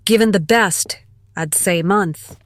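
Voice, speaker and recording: A woman's voice: medium pitch, a little slow, slight background noise, lightly acted. An actress playing a cautious, level-headed woman survivor in an audio drama, serious and formally worded. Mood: questioning.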